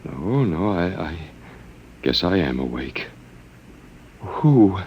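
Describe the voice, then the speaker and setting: A man's voice: low voice, very animated, slow, highs cut off, slight background noise, heavily acted. A man playing a bewildered professor in a 1950s radio drama, stammering and flustered but wry. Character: bewildered male professor, last man on Earth.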